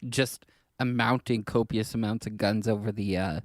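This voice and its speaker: A man chatting casually as a podcast co-host, halting and rambling, often repeating words as he works toward his point. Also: lowish voice, steady tone, no room sound, clean studio sound.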